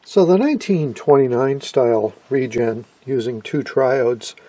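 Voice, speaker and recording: A man giving a casual, halting, conversational explainer as an enthusiastic radio hobbyist. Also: medium pitch, expressive, mellow, slightly rough, no echo. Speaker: amateur radio hobbyist presenter.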